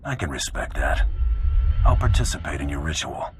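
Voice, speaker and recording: An actor playing a tough, cocky bounty hunter in a video game, speaking tersely with dry sarcasm. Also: male voice, rumbling low, sing-song, normal speed, noisy background. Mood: wry. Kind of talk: video game cutscene acting.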